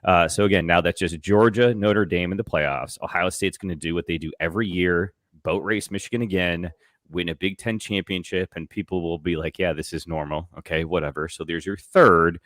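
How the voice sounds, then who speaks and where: A man's voice: deep voice, brisk, a bit bright, dry recording, studio quality. A man bantering casually about sports as a show panelist, ranting in a sarcastic, profane, exaggerating way.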